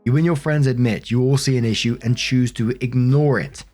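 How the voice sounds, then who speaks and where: Man, mid-pitched voice, conversational story narration, informal host delivery, direct address, music in the background. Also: brisk, darkish tone. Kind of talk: conversational narration of online relationship posts with commentary. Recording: music behind the voice.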